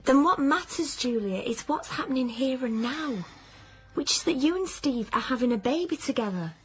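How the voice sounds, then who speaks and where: An actress playing a blunt, sceptical, practical friend, frank and questioning, in naturalistic radio drama. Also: female voice, sits high, expressive, normal speed. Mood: firm, exasperated.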